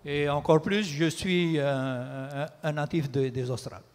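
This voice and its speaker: A man interpreting a sermon in a church, measured and sometimes hesitant. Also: on the high side, big-room sound. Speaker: pastor and interpreter. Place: church hall.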